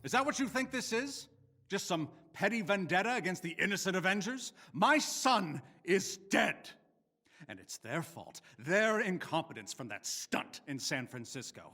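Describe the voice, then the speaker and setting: Bitter older male game villain: formal, menacing, very animated intonation, big reverberant space. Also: high-pitched, heavily acted. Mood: grieving fury. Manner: contemptuous, formal, deliberate menace. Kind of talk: dramatic video game voice acting.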